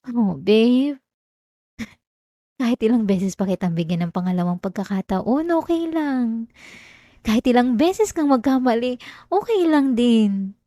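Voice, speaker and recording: A woman acts out an unhinged, possessive young-love yandere girlfriend with a Filipino accent. She speaks in a coaxing, clingy way, sweet on the surface and threatening underneath. It is intimate ASMR roleplay, very close to the mic and dry, in a medium-pitched female voice. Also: mellow, no room sound. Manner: clingy, coaxing, breaking into giggles, veiled threats. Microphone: close dry microphone.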